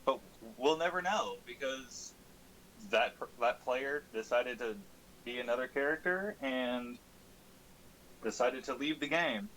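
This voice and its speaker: A man's voice: mid-range voice, measured pace, poor audio. A man in a casual, informal chat, recapping a tabletop game as a player and swearing lightly. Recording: steady audible background noise.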